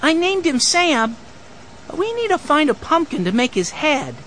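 Man acting little boy of six, very high pitch, eager, exclaiming, bouncy intonation, children's storybook. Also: sing-song, moderate pace, fairly bright tone, slight background noise, exaggerated voice. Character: six-year-old boy, excitable, hungry, eager. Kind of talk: children's audiobook character voice.

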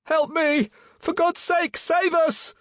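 A man's voice: extremely high pitch, fairly even intonation, slow, a bit bright, no room sound, studio quality, pitched above the performer's own voice, full character voice. A man voicing a desperate drowning sailor in an audiobook, crying out for rescue in a strained, pleading voice.

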